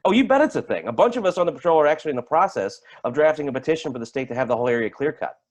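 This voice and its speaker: An edgy, foul-mouthed highway patrolman , acted by a man in a stage drama. He talks quickly and trips over his words, his pitch rising and falling in a lively way as he rants. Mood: agitated storytelling. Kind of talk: staged play reading.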